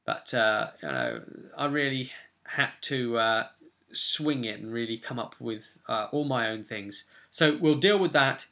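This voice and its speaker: Bright, forceful man recapping a game. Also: medium pitch, very animated, measured pace, vocal fry. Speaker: host and game master of a tabletop role-play vidcast. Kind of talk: informal campaign recap to camera.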